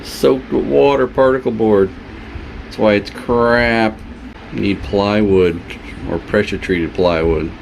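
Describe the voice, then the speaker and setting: A man's voice: lowish voice, fairly even intonation, slow pace, vocal fry. A man giving casual, folksy vlog commentary on home repairs in short offhand remarks, recorded on a handheld camera. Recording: noisy handheld camera audio, background hum.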